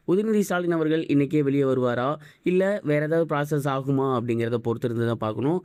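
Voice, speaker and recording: A young man presenting a fast news recap for an online channel, brisk and mixing English words into his talk. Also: medium pitch, darkish tone, no room sound, studio quality.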